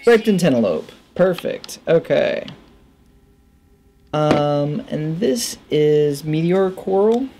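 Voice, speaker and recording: Male gaming host, thirties, halting and mumbled think-aloud delivery, very slow, with some gravel in the voice. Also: on the high side, animated, music in the background. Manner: halting, muttering to himself as he thinks. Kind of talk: casual gameplay commentary, naming discoveries aloud. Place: small indoor room at a computer.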